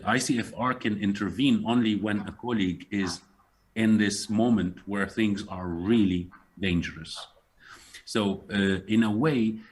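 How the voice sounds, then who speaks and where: Man, fairly low voice, slightly husky, earnest, measured, pauses to find words. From Syria. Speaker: festival guest speaker. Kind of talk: panel conversation.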